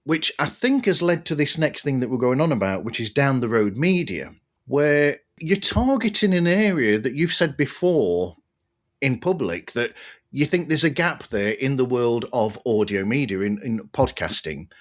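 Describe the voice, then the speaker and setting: Guy, podcast host, mid-range voice, chatty and rambling as he thinks out loud. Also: mid-range voice, expressive, normal speed. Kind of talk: conversational interview.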